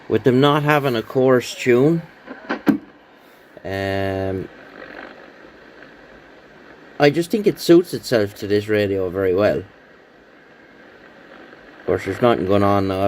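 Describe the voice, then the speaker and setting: A man's voice: fairly low pitch, a little hiss or hum. A man giving a casual, rambling, understated hands-on show-and-tell as a hobbyist, with some hesitation. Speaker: radio hobbyist presenting a rebuilt CB radio.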